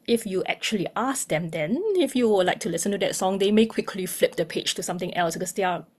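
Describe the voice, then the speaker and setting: A young woman, a music therapist giving a measured webinar presentation with frequent ums. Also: mid-range voice, a little mellow, slightly rough. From Singapore. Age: young adult.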